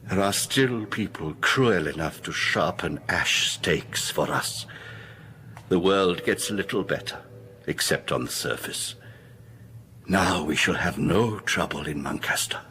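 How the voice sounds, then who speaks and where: A very low-voiced, courtly old vampire patriarch in a radio horror play. He speaks formally and with calm command, menace held quietly underneath, over a faint bed of ambient noise. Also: unhurried, full character voice. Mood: grave, satisfied. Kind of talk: radio horror drama acting.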